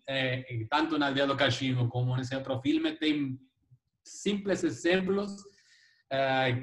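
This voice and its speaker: A man with a Spanish accent in Portuguese, giving informal, reflective closing remarks and searching for his words. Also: medium pitch. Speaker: filmmaker, guest speaker. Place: video call.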